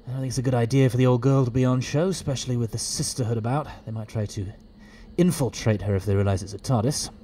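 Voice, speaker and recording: A man playing an eccentric, good-natured time-travelling alien adventurer in an audio drama, hesitant, stammering and excitable. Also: medium pitch, fast, a little hiss or hum, close to an everyday voice. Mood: flustered, rambling.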